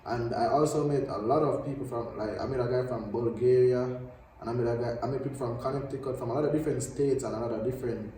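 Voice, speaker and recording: A young man from Jamaica speaking casually as a student guest, relaxed and teasing. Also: medium pitch, fast, far from the mic. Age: young adult. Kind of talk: casual chat. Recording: very echoey room, off mic.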